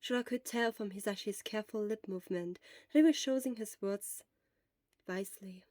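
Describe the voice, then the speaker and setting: A woman's voice: mid-range voice, moderate pace, mellow, dry recording. A woman reading scripted fiction aloud as the narrator of an audio story. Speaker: podfic narrator.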